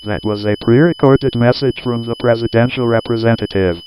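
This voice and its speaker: A man's voice: mid-range voice, normal speed, darkish tone, a little hiss or hum, natural voice, poor audio. A man playing a neutral, formal broadcast station announcer giving scripted standby notices impersonally.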